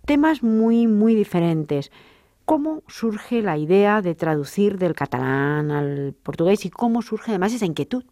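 A woman's voice: medium pitch, lively intonation, a little slow, mellow, no echo. A woman hosting an interview in a university studio, articulate and welcoming, asking long flowing questions. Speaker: radio interview host. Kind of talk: radio interview.

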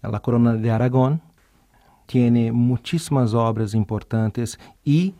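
A man's voice: medium pitch, slow, warm tone. A man from Rio de Janeiro, Brazil, whose first language is Portuguese, speaking with a carioca accent as a professor guest in an interview, thoughtful and hesitant with fillers. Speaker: university art history professor.

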